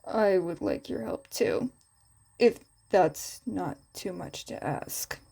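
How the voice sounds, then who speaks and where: A serious, reserved teenage boy from an audio story, voiced by a woman, pitched down from the narration. He speaks in a subdued, terse way. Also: animated, takes it slowly, creaky, mellow, husky, full character voice.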